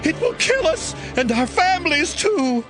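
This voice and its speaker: A woman's voice: very high-pitched, sing-song, measured pace, a bit bright, faint music behind, full character voice. A woman playing a terrified, screaming ancient townswoman in a dramatized audiobook.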